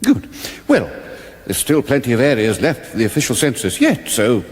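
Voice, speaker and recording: Give me a timid, foolish fantasy king, hesitant and apologetic, wildly varied in pitch, in a reverberant hall. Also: wildly swooping pitch, normal speed. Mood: mildly puzzled. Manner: hesitant, bumbling, mildly apologetic. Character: foolish, timid, dithering, over-polite king. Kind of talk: radio comedy fantasy parody.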